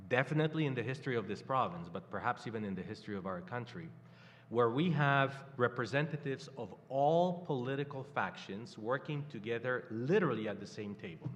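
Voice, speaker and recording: An older man from Poland with a Polish accent, speaking haltingly as a guest at a live panel. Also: mid-range voice, expressive. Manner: halting, many fillers and restarts. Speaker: campaign guest. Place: stage in a hall before a live audience. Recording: hall reverb.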